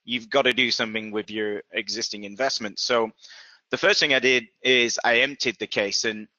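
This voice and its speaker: Yorkshire man, bright and dry. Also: mid-range voice, fairly even intonation, moderate pace, dry recording, dull audio. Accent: Yorkshire English. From Yorkshire, England. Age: 30s. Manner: chatty, self-deprecating, frequent restarts. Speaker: guest presenter. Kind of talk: casual technical presentation.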